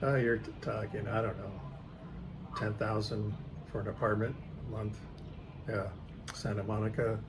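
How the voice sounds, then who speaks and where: A man's voice: lowish voice, flat delivery, moderate pace, noisy background. A middle-aged man with an American accent, chatting casually in brief, laid-back remarks. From California, United States. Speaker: guest, retired executive. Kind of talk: casual chat.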